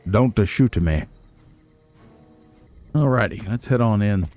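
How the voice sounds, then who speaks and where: A man's voice: lowish voice, slow pace, a little mellow. A man hosting a video game playthrough, chatting casually and musing aloud with wry asides. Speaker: let's play host. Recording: game music behind the voice.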